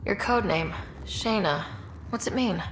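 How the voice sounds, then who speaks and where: An actress playing a cool, plucky young space explorer in a video game, speaking in a dry, direct, unfazed way. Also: female voice, medium pitch, measured pace, slight background noise, lightly acted, rough recording. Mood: dry, curious. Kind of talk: video game character dialogue.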